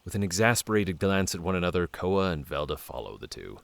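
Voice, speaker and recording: A man's voice: low-pitched, very animated, brisk, gravelly, dry recording, exaggerated voice. A man narrating a fantasy audio drama in a scripted storybook style. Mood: measured.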